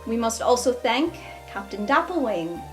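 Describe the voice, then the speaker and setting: A woman voicing an earnest young woman who gives a measured, formal speech of thanks at a feast. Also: a little high, big pitch swings. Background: soft background music.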